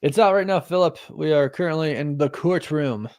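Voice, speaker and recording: A man's voice: on the high side, normal speed, a little mellow. Chatty man in his thirties joking about himself. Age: 30s. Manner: chatty, laughs often, jokes about himself. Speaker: host. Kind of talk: casual live watch-party chat.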